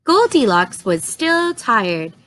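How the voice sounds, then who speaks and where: Lady reading a kids story out loud, slowish and really up and down, expressive storyteller. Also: on the high side. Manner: expressive, deliberate. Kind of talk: read-aloud narration of a children's story.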